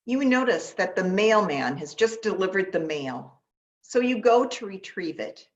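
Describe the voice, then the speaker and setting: A woman pastor leading a guided meditation in an unhurried, gentle manner with long pauses, speaking in short instructions. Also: mid-range voice, lively intonation. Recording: dull, lo-fi sound.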